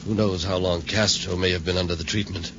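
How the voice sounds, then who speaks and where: An actor playing a frightened, overwrought scientist who stammers urgently, in 1950s radio serial style. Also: male voice, low voice, fairly even intonation, brisk. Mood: urgent, agitated. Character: rattled, frightened male scientist doctor, inventor of a brain machine. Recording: noisy, muffled 1950s radio recording.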